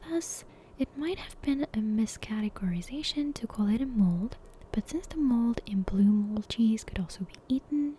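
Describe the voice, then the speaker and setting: A woman whispers a bedtime story in a soft, breathy ASMR style. Her delivery is mellow and unhurried, hushed throughout. Faint ambient noise sits behind her. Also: fairly high pitch. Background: soft game soundtrack ambience.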